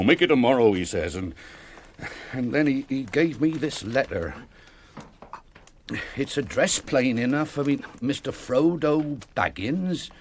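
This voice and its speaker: A fat, bumbling English innkeeper from a fantasy radio play. His husky voice moves animatedly as he stammers and rambles apologetically. He sounds rustic, loyal and thoroughly flustered. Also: mid-range voice. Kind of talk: British fantasy radio drama acting.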